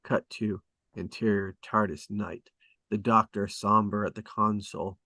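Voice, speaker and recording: A man reading screenplay stage directions as scripted narration, with deliberate dramatic emphasis. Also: lowish voice, fairly even intonation, bright, forceful tone, dry recording. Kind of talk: scripted narration at a table read.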